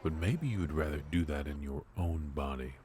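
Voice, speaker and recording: A man playing a ruthless, teasing mafia boss in a noir ASMR roleplay, smug and flirtatious, with drawn-out pauses. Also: very deep voice, darkish tone. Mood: smug, mocking.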